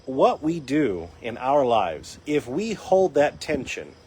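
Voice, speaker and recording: A man hosting a live faith and recovery talk, his voice a little raspy, explaining in an earnest teaching tone with frequent restarts. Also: mid-range voice, very animated, takes it slowly, a little mellow. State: raspy voice from dryness. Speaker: host. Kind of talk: casual talk on a live stream.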